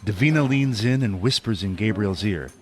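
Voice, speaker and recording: A man's voice: lowish voice, animated, normal speed. A man narrating an audio drama from a script as its storyteller. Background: background music.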